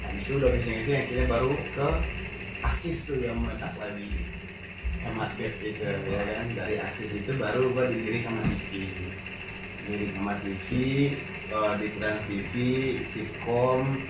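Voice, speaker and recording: A man from West Sumatra with a Minang accent, a guest chatting casually and telling his career story in lively slang. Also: lowish voice, moderate pace. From West Sumatra, Indonesia (Padang). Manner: animated storytelling in slangy speech. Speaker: guest, actor and radio host. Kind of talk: casual chat. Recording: echoey room, far from the mic, with music.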